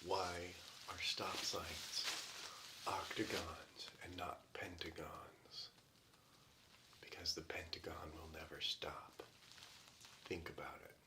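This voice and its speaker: A man playing an earnest, paranoid conspiracy theorist in a whispery ASMR roleplay, halting and rambling, often slipping into a whisper. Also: low-pitched, flat delivery. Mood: conspiratorial. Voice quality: hushed, breaking often into whisper. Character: earnest, paranoid, tipsy male conspiracy theorist club member.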